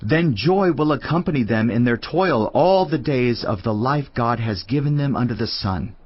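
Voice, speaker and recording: A solemn, husky teacher of wisdom. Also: male voice, mid-range voice, animated, highs cut off. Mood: solemn, reflective. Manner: measured, declamatory, earnest. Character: male teacher of wisdom, reflective, weary, solemn. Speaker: scripture narrator. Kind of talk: dramatised audio Bible reading. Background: music.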